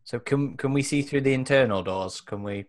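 A man's voice: mid-range voice, moderate pace. A man chatting casually as a player in an online tabletop roleplaying game.

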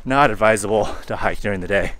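A man in his forties, hot and sweaty, talking casually to a handheld camera while hiking outdoors, relaxed and rambling with fillers. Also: lowish voice, fast. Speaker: hiking vlogger. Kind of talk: casual vlog talk to camera. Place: outdoors on a forest trail. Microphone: handheld camera held at arm's length.

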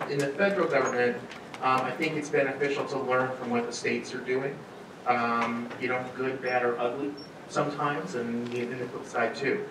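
Middle aged guy doing a casual event intro, stop-and-start, echoey and kinda far from the mic. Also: medium pitch. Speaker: event organizer introducing a speaker. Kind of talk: informal spoken introduction at a conference. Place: auditorium stage at a podium. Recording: echoey hall, distant, noisy room sound.

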